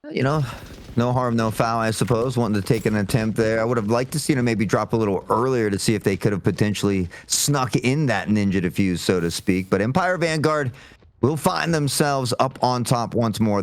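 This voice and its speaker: A man's voice: on the low side, moderate pace, no echo. A man giving fluent, wordy live analysis as an esports commentator, with playful turns of phrase. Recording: faint game noise and clicks.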